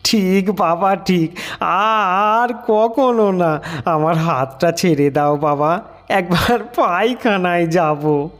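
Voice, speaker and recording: A man's voice: high voice, slow pace, fairly bright tone, pitched above the performer's own voice. A man voicing a stout, cowardly older householder in an audio story, pleading in a tearful, quavering, imploring way. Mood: tearful, submissive.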